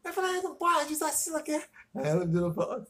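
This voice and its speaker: A man's voice: extremely high pitch, sing-song, rapid-fire, sharp tone. A man in casual podcast chat, rambling, swearing freely, trailing off and restarting. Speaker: podcast co-host.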